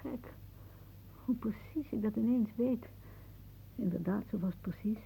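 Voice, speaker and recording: An actress playing a wry older aunt in a radio play, musing dramatically and haunted by death. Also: female voice, fairly high pitch, measured pace, mellow, a little hiss or hum. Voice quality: husky. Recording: muffled, phone-like old radio recording.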